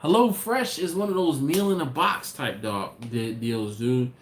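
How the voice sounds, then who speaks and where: A man's voice: medium pitch, unhurried. Man, live-stream host, loose conversational delivery with restarts, echoey room with faint background noise. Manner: rambling, talks to viewers directly, restarts often. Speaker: barbecue channel host. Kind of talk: casual live-stream chat, reading viewer comments. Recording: roomy echo, faint ambient noise.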